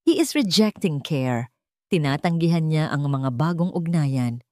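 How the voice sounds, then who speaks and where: A woman's voice: low voice, expressive, a little slow, darkish tone, no echo, studio quality. A woman voicing a grave, frank male pediatrician in an audio story, explaining formally.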